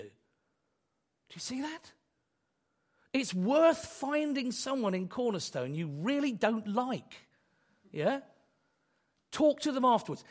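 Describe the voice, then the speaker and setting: A man delivers a sermon in a hoarse voice, worn down by a cold. He talks high and with lively rises and falls, and keeps the tone conversational with rhetorical questions thrown at his listeners. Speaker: pastor.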